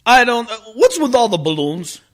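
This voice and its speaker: Man playing a high-pitched, frazzled mobster dad. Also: very animated, normal speed, a bit bright, full character voice. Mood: wary, irritated. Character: stressed, weary male mobster and family father. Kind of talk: comedic radio play acting.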